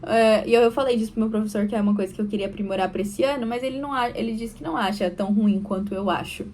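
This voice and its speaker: A young woman with a Brazilian Portuguese accent, chatting casually to camera in a vlog, self-deprecating and quick to laugh. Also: medium pitch, a little mellow, a little hiss or hum. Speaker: vlogger.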